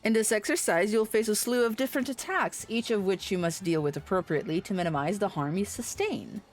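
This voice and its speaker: A formal combat instructor from a fantasy video game, read aloud by a woman. The delivery is measured and encouraging, explaining drills and approving of the trainee. Faint music plays underneath. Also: medium pitch, lightly acted.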